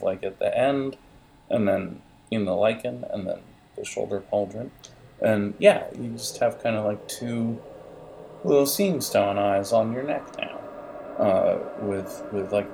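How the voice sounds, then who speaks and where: A man improvising narration as game master in a halting, digressive manner, with music behind the voice. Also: fairly low pitch, vocal fry, darkish tone, a little hiss or hum, poor audio.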